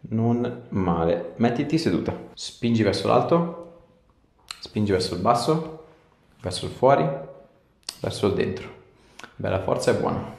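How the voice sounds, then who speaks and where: Low-voiced male chiropractor, echoey room. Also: animated, unhurried, gravelly, pauses often, darkish tone. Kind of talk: casual hands-on session talk with short instructions. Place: small indoor treatment room. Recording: echoey small treatment room.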